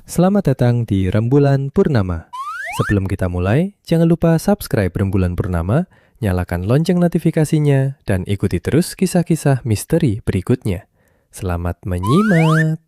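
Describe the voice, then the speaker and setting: A man narrating a scripted story in a calm, measured way. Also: mid-range voice, noisy background.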